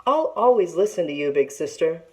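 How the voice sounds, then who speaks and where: A woman's voice: lowish voice, lively intonation, normal speed, a little mellow, natural voice, low-quality recording. A woman voicing a sweet small girl, the little sister in a children's storybook, with a childlike, eager delivery. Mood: affectionate. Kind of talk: children's storybook read-aloud.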